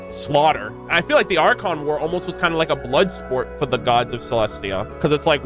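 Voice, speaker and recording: A man giving casual, conversational and emphatic commentary as a game streamer. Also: on the high side. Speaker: streamer reacting to video game lore. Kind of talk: casual commentary, with some reading aloud. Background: background music.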